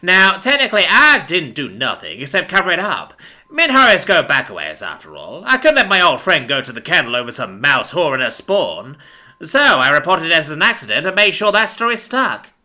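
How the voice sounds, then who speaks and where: Man playing crooked pig policeman, callous, crude folksy talk, raised pitch, hard bright tone, storybook drama. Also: wildly swooping pitch, brisk, heavily acted. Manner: folksy, crude, scolding speech. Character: sneering, callous corrupt pig policeman, male.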